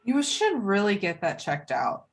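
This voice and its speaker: A woman's voice: medium pitch, big pitch swings, a little slow. A woman plays a wiry female gangster in her thirties for a film table read. She is chatty and full of herself, with street-smart menace in a mid-range voice. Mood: chatty, needling. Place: remote call from home.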